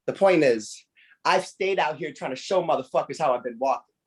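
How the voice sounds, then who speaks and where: A man's voice: a little high, animated, a little fast, breathy edge. A man performing comic spoken-word poetry at a slam, blunt and wordy. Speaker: slam poet.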